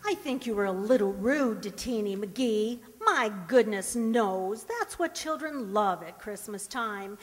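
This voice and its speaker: A woman playing a sensible, fond wife who gently chides, with patient, folksy manners, in an old-time radio comedy. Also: mid-range voice, expressive, a little slow, hardly any pauses, natural voice. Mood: chiding. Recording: echoey hall stage recording.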